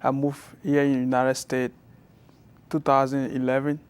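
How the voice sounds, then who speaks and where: A young man with a Liberian accent answers an interviewer's questions. His voice is smooth and clear. He speaks on a steady, even pitch, softly and haltingly, with frequent restarts. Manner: soft-spoken, halting, with many restarts. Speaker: guest, college student.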